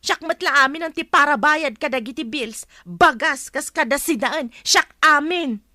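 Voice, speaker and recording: A woman's voice: sits high, moderate pace, sharp tone, dry recording, studio quality. A woman acting as an exasperated breadwinner wife and teacher in an Ilocano comedy drama, scolding, with a Filipino accent. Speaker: actress in an audio comedy drama.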